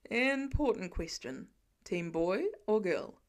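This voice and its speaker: A woman's voice: medium pitch, expressive, takes it slowly, pitched below the performer's own voice. A woman voicing a playful, dryly teasing adult man, a grumbling dad, in a fiction audiobook. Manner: playful, dry, wheedling.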